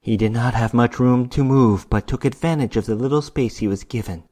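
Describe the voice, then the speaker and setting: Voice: mid-range voice, a little fast, warm tone. A narrator giving a dramatic scripted reading of a short story.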